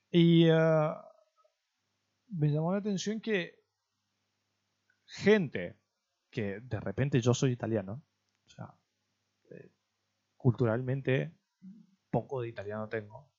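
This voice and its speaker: Guy chatting on a podcast, kinda halting, thinking out loud, muffled lo-fi audio. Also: medium pitch, animated. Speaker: podcast co-host. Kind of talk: casual conversational chat.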